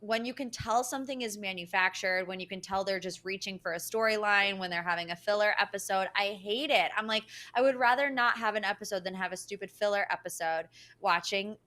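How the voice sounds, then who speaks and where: A woman's voice: mid-range voice, fairly quick, sharp tone. A woman giving emphatic, opinionated commentary as a panelist on a casual recap show.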